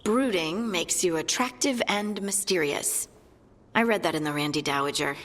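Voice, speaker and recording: A woman's voice: fairly low pitch, normal speed, fairly bright tone, big-room sound. A woman playing an earnest, plucky young scout in a fantasy video game, with a conversational manner. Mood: wry, playful. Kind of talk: naturalistic video game acting.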